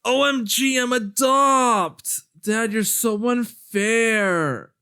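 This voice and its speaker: A man's voice: very high-pitched, extremely slow, bright, forceful tone, smooth, full character voice. A man voicing a brash, whiny, dramatic teenage boy in an amateur webcomic dub. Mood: dramatic whining.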